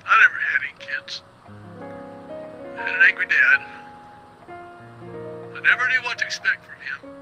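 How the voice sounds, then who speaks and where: A high-strung, melancholy late-night radio host in a game's radio drama. His voice is high and very bright, with halting, easily flustered delivery. Music plays behind him. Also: lively intonation, heavily acted, low-quality recording. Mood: pained confession. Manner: halting confessions, exasperated outbursts. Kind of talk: radio drama acting in a game.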